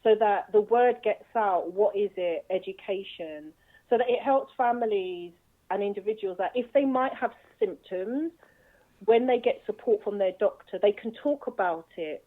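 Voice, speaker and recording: A woman answering interview questions in an earnest, measured way, speaking from her own experience as an advocate. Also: mid-range voice. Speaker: guest, bipolar advocate. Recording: tinny phone-line sound.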